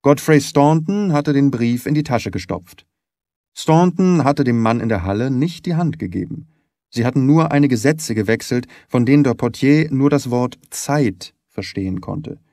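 A man narrating a detective story from a script in a measured, expressive storytelling manner. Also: mid-range voice, no room sound, studio quality. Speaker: audiobook narrator.

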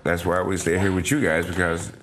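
A man's voice: deep voice, little pitch movement, fairly quick, a loud crowd. A middle-aged man giving a plain personal account as an interview guest. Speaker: interview guest, father of young children. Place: small TV studio living-room set. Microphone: lapel.

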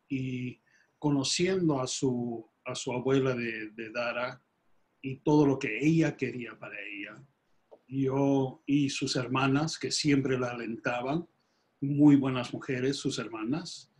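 An older man with a Peruvian Spanish accent talks as a softball coach over a video call. His voice sits at a middling pitch, and he speaks with a measured, steady pace. He is recorded through a laptop in a small room at home. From Peru. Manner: steady, grows animated when making a baseball comparison.

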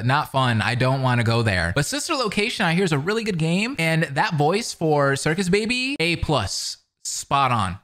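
A man in his twenties giving casual, excitable reaction commentary close to a studio mic. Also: mid-range voice. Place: small indoor room, gaming chair. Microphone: studio mic on boom arm, close.

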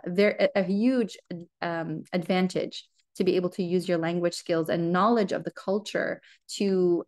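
A woman's voice: lowish voice, a little mellow. Lebanese american woman, thirties, earnest answers full of ums, video call from home. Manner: earnest, many ums and restarts. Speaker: guest speaker. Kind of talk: seminar Q&A answer. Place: home room.

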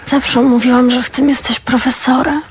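A woman's voice: high voice, level tone, normal speed, a little hiss or hum, natural voice. An actress playing a sardonic woman sparring with her jealous lover in a stage drama, giving dry, curt retorts. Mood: defiant. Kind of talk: theatrical stage dialogue.

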